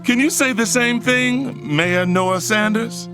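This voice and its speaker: An actor playing a scheming, arrogant middle-aged billionaire in an audio drama, both speaking in scenes and narrating, with a smug, commanding manner. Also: male voice, extremely high pitch, slow pace. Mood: taunting, smug. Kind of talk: audio drama acting with first-person narration. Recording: music under the voice. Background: music.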